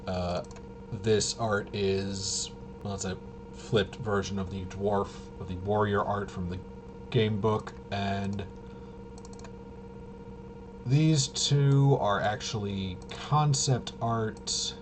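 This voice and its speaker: A man with a fairly low voice talks through a tabletop game in a casual, improvised way. He is halting and often mutters to himself as he thinks aloud. The recording has clear background noise. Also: steady tone. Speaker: game master demonstrating a tabletop RPG. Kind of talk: casual improvised narration of solo tabletop gameplay.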